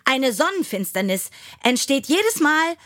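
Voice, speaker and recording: Woman narrator doing a determined young astronomer girl in a kids story, higher pitched, emphatic. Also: big pitch swings, measured pace, fairly bright tone, heavily acted. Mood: triumphant.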